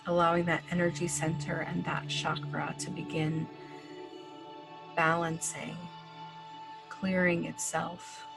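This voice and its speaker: A woman leading a guided breathing meditation, speaking slowly and gently with long pauses and casual fillers. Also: fairly low pitch, creaky. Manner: slow, gentle, soothing instructions with long pauses. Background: soft background music.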